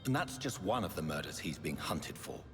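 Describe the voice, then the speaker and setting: An actor playing an earnest, newly turned vampire doctor in a video game, speaking in a formal, measured way. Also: male voice, low voice, sing-song, background noise. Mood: wary. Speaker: lead character. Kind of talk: dramatic video game voice acting. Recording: long hall reverb, music underneath.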